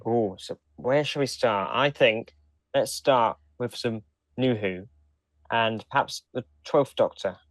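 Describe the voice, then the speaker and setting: A man's voice: mid-range voice, slow pace, no room sound. A man co-hosting a podcast in casual conversation. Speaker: podcast co-host. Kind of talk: casual chat.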